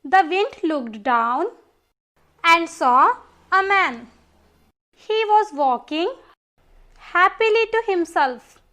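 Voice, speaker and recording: A woman reading a scripted children's story as a storyteller and teacher, slowly and deliberately. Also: very high-pitched, expressive, pushed and bright.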